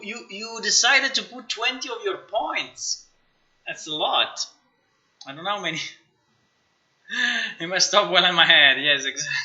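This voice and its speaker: Sharp-voiced man chatting on a livestream. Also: sits high, highs cut off. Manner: informal, excitable, repeats words and phrases. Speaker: live streamer, game developer. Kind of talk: casual livestream chat, thinking aloud while working.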